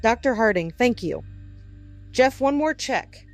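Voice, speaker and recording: A woman's voice: a little high, animated, a little slow, soft background music. A woman playing a grave, careful news anchor in a scripted breaking news broadcast. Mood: grave, measured.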